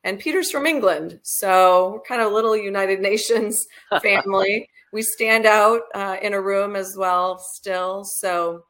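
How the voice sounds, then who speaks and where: Female guest, professor, warm and laughing, mid-range voice. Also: medium pitch, steady tone, a little slow. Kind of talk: interview answers.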